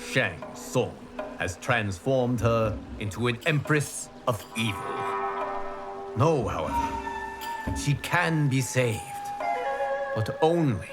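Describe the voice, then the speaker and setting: An actor playing a calm, solemn male fire god who briefs the player in a game cutscene, speaking in measured, formal words with music behind. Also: mid-range voice. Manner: measured, grave, formal diction. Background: game score music.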